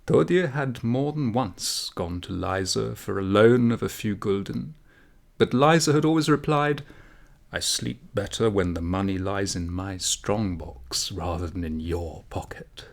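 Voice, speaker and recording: A man reading a comic folk tale aloud as a storyteller, in a measured, expressive way. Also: lowish voice, creaky. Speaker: audiobook reader, storyteller.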